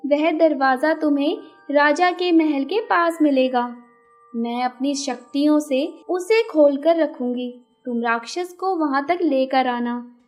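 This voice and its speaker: Woman as a high-voiced solemn magical helper. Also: slow, a little mellow. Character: solemn female magical helper in a bird fable.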